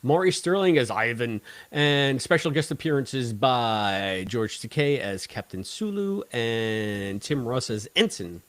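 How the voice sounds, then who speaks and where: A man co-hosting a casual podcast discussion, talking in a wordy, halting way and repeating phrases as he goes. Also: medium pitch, animated, fairly bright tone, dry recording. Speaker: podcast co-host.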